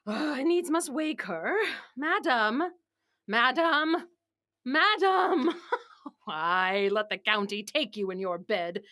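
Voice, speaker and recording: A woman playing a bawdy, doting nurse in a classical Shakespeare play, loud and theatrical, with many exclamations. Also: fairly high pitch, very animated, slow, exaggerated voice. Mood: teasing, coaxing. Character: bawdy, doting female nurse and servant, turning to wailing. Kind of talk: classical Shakespearean stage acting.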